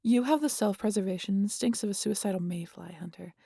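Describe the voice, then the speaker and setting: A woman playing a sardonic, guarded vampire in an intimate audio roleplay, with a gravelly, husky voice and a teasing, exasperated manner that slips into breathy whispers. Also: medium pitch, fairly quick, mellow, dry recording, clean studio sound. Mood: exasperated, defensive. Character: sardonic, guarded female vampire hypnotizing an injured hunter.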